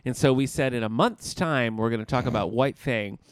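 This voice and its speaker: A man's voice: medium pitch, fairly quick, no echo. Guy in his 30s cohosting a chat, jokey and rambly, dry close mic. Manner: joking, rambling with filler restarts. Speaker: podcast co-host. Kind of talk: casual chat.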